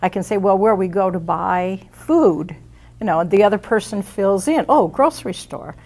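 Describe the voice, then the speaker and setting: A woman's voice: mid-range voice, moderate pace, darkish tone. Woman in her sixties, candid talk. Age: 60s. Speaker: program participant.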